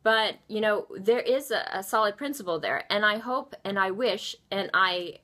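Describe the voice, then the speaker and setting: Bright-voiced earnest woman. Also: mid-range voice, normal speed. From born in Indonesia, raised in Baltimore, USA. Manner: earnest, passionate, many ums. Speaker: nonprofit founder. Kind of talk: unscripted video commentary.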